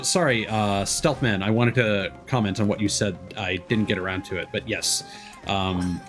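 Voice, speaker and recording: A man chatting casually as he hosts a game livestream, rambling and thinking aloud. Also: on the low side. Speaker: streamer and host. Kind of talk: casual livestream gameplay chat.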